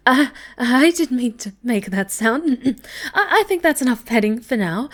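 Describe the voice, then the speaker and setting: A woman playing a snobby but soft, easily flustered cat girl in an intimate ASMR roleplay, speaking coyly and haltingly, often breathy. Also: fairly high pitch, sing-song, exaggerated voice. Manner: halting, stammering, coy, haughty.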